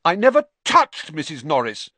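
A man's voice: a little high, slow pace, no echo, voice pushed up. A man voicing an anxious, defensive schoolboy wizard in an audiobook, protesting earnestly. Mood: indignant protest. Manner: loud, hesitant protesting. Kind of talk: audiobook character voice.

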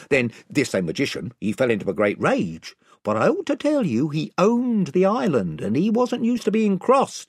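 A man's voice: on the high side, breathy edge, no room sound, studio quality, pitched above the performer's own voice, exaggerated voice. A man voicing a self-important, rambling chief of simple folk in a storybook, with a folksy manner. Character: pompous, rambling, rustic invisible chief, male.

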